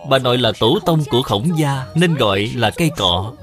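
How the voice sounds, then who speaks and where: A man's voice: mid-range voice, lively intonation, moderate pace, a bit bright, soft background music, natural voice. A man voicing a polite, earnest little boy in an educational cartoon, speaking formally and respectfully. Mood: polite, eager. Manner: respectful, formal beyond his years. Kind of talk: dubbed educational cartoon acting.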